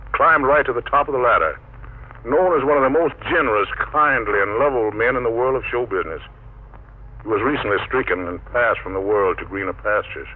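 A man announces as host of a 1940s radio variety show. His medium-pitched voice is formal and measured, heard through a narrow, tinny broadcast recording with faint noise.

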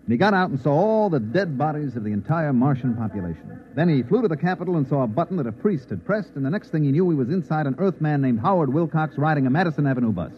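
A man's voice: on the high side, big pitch swings, quick pace, few pauses. An American actor playing a wry, easygoing newspaper reporter who narrates his story in an old-time radio drama. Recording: tinny, narrow-band old radio recording, hissy.

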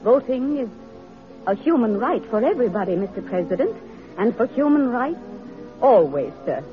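A woman's voice: on the high side, big pitch swings, normal speed. An actress playing a principled, determined woman social reformer in a radio drama, speaking earnestly and firmly. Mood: earnest conviction. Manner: earnest, firm, declarative. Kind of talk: vintage radio drama acting. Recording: muffled vintage radio, music behind.

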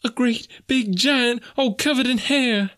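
A man's voice: extremely high pitch, slow, pitched above the performer's own voice, full character voice. A man voicing a hysterical, terrified maidservant who wails and exclaims in folksy dialect in an audiobook. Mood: frightened lamenting.

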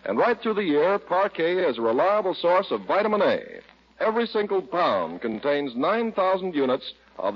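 A man announcing on 1940s radio, reading sponsor copy and scene narration with a polished, emphatic salesman delivery and husky voice. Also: on the high side. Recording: dull vintage radio recording.

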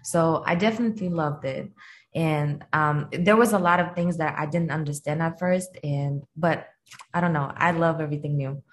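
A woman's voice: sits low, moderate pace. Chatty young woman from Kazakhstan. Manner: relaxed and chatty, many fillers like "like" and "um". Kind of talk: casual podcast interview.

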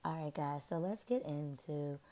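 A woman's voice: low voice, moderate pace, mellow. Chatty upbeat woman podcast host. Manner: chatty, upbeat, slangy, rambling asides. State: recovering from sinus trouble, voice varies. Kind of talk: casual solo podcast chat.

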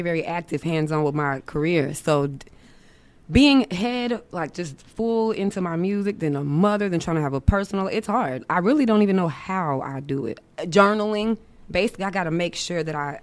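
Low-voiced woman singer chatting. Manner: relaxed, candid, slangy, trailing restarts. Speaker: R&B singer, podcast guest. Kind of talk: casual interview chat. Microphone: studio mic.

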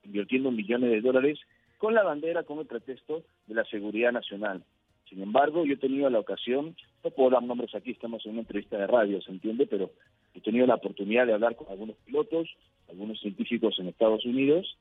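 Man, raspy tone, phone-quality audio, measured emphatic interview answers. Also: mid-range voice, no echo. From Peru. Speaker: interview guest, UFO researcher. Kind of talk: radio interview, explanatory answers. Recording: thin phone-like line.

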